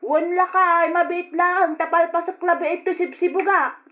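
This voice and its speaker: A woman's voice: very high voice, fairly even intonation, unhurried, narrow, tinny audio, slight background noise. A woman playing a kindly elderly grandmother who gives soothing, emphatic advice in an old radio drama, with a Filipino accent. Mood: busy, homely.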